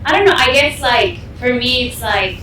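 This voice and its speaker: A woman's voice: on the high side, moderate pace. A woman in her thirties from Mexico, chatting in an upbeat, emphatic way with lots of "like". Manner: upbeat, emphatic, many likes. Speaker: podcast guest, consultant. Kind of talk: casual chat. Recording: echoey room, far from the mic, noisy.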